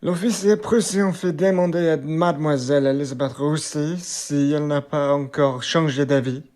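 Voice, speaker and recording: A slightly high male voice from an actor playing an innkeeper in a radio play. He delivers messages plainly, in a matter-of-fact manner. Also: normal speed, darkish tone, natural voice.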